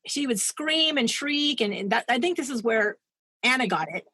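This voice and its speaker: A woman's voice: fairly high pitch, expressive, moderate pace, pushed and bright, breathy edge, no echo. Chatty woman telling a story on a podcast. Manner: chatty, digressive, laughs at her own asides. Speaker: podcast co-host. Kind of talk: casual true-crime storytelling.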